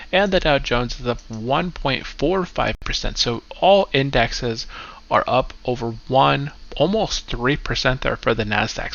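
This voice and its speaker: A man runs through his portfolio for his channel, congested and a bit stuffy. His voice is bright and forceful with a gravelly edge. The recording sounds dull and lo-fi. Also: mid-range voice. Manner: upbeat, keeps saying "go ahead". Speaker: investing channel host. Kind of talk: casual finance vlog walkthrough.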